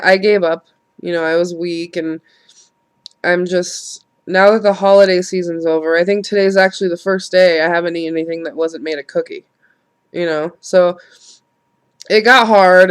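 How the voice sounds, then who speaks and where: A woman's voice: lowish voice, smooth. Nasal, stuffed-up young woman rambling. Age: late 20s. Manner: rambling, frank and profane, laughing at herself. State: congested, nasal from a cold. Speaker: vlogger. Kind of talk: casual vlog monologue.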